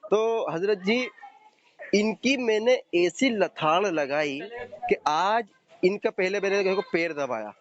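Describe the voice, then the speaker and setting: A man with a high-pitched voice argues in a heated religious debate. He is combative, taunting and insistent, pressing his opponent hard. The sound is a muffled phone call recording with some noise.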